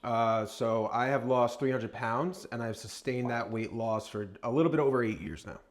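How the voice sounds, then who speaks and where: A man with an average-pitched voice talks as the co-host of a podcast. His delivery is informal and conversational, like someone talking across a table to a friend. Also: moderate pace. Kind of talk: casual conversational podcast talk.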